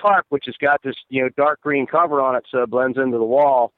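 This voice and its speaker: Man, mid pitch, phone-line sound, dry, easygoing guest story. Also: steady tone, fairly quick, no echo. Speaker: guest, former grounds crew member. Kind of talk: casual storytelling chat. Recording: tinny phone-line call.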